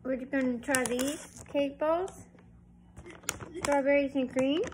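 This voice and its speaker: A woman in her thirties with a high, light voice chats in an easygoing, homespun way while reviewing a snack. She films herself on a phone at arm's length in her living room, and a faint hiss runs under her voice. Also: fairly even intonation, unhurried. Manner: plain, homespun talk. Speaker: vlogger. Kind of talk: casual food review vlog. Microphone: phone camera at arm's length.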